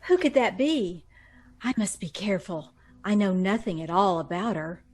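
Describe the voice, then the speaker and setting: A woman's voice: medium pitch, animated, moderate pace, darkish tone, slightly rough. A woman playing a secretive young woman in love in 1940s radio drama style, with nervous little laughs and stammers. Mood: nervous, wary.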